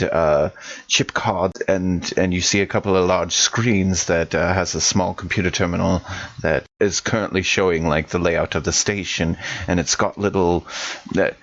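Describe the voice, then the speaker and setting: A man playing a tabletop roleplaying game, describing scenes in halting, filler-laden phrases and occasionally joking. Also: low voice. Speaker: player. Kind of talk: actual-play tabletop game. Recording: dull lo-fi audio.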